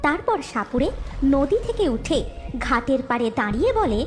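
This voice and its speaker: A woman's voice: sits high, big pitch swings, moderate pace, faint music behind. A woman giving a scripted narration of a fairy tale cartoon as its storyteller.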